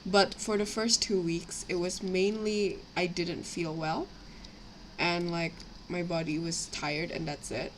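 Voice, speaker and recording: A young woman with a slightly raspy voice from a cough, rambling casually as she chats on a live stream while building models. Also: on the low side, fairly even intonation, takes it slowly, poor audio. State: sick with a cough, itchy throat. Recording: clear background noise, home livestream.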